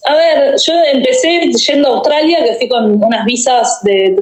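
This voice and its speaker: A young woman with a Uruguayan accent, chatting as a guest about her travels in a lively, storytelling way. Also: high voice, normal speed. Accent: Uruguayan Spanish. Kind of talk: casual interview talk. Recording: echoey room.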